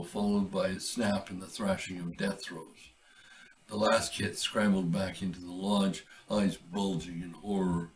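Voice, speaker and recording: Man reading his story, low-pitched and distant.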